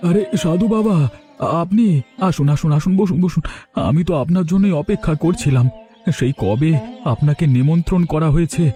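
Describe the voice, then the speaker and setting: Cartoon landlord, male actor: hospitable, effusive, soft-toned, music underneath. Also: on the high side, brisk, natural voice. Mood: effusive, welcoming. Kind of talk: Bengali cartoon voice acting.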